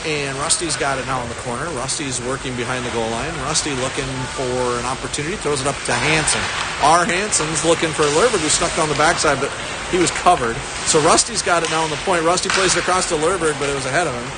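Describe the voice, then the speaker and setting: A man doing casual play-by-play on a youth hockey stream, chatty and self-deprecating, with a loud noisy background. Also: a little high, quick pace. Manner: chatty, self-deprecating, laughs at own mix-ups. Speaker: play-by-play commentator. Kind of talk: amateur hockey commentary on a stream.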